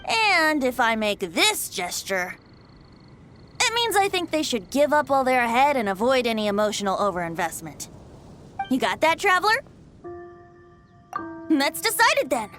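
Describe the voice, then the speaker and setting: An actor voicing a breezy, theatrical young male bard in a video game, smooth-talking and teasing. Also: very high-pitched, sing-song, moderate pace, long pauses, bright, forceful tone, faint music behind, exaggerated voice. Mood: instructive. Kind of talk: video game character acting.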